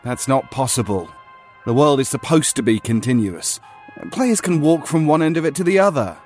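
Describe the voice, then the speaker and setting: A man's voice: mid-range voice, expressive, normal speed, darkish tone, faint music behind, natural voice. A man playing a weary, stubborn veteran player of a surreal game, wry and conversational in a naturalistic audio drama. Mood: yearning, insistent.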